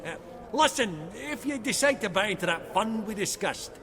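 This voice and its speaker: Dwarf banker for a game, high swoopy voice, chummy and bossy, echoey room. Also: male voice, expressive, normal speed. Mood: sociable. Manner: colloquial, blustering, swearing. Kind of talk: video game voice acting.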